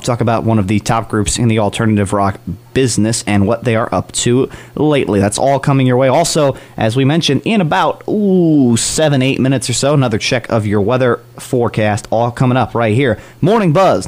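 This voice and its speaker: A man's voice: mid-range voice, a little fast, a little mellow. College radio guy doing a morning show, chatty upbeat dj patter, mid-range voice.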